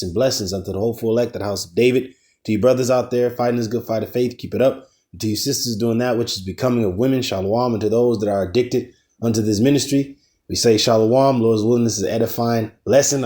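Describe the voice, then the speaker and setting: A man's voice: mid-range voice, darkish tone. A man teaching a casual religious lesson, preaching in a loose, slangy way with some cursing and emphatic rises on key points.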